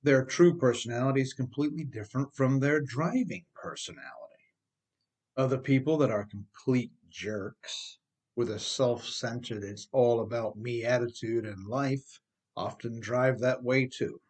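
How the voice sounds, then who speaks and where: A middle-aged American man narrates a nonfiction audiobook as its author. His pitch sits in the middle range for a man. He reads from a script but talks straight to the listener, with emphasis and a conversational turn. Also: normal speed, darkish tone. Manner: emphatic, addresses listener with rhetorical questions. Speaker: author reading own audiobook.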